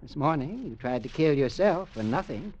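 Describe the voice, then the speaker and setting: An actor playing a cold, calculating older uncle, dry and condescending, in a mid-century radio drama. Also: male voice, a little high, sing-song, normal speed, darkish tone, slight background noise. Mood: cool, calculating. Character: cold, calculating older uncle, a former South Seas trader. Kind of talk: 1940s radio drama acting. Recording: muffled old radio recording.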